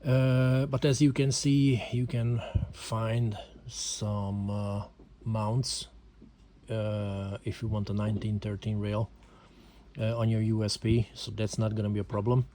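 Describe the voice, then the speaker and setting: A man's voice: fairly low pitch, flat delivery, slow pace, warm tone, slight background noise. A man from Hungary with a Hungarian accent, speaking English as a second language and hesitantly explaining a handgun in a casual home video, with many fillers. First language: not English. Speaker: amateur gun reviewer. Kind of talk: casual amateur video talk.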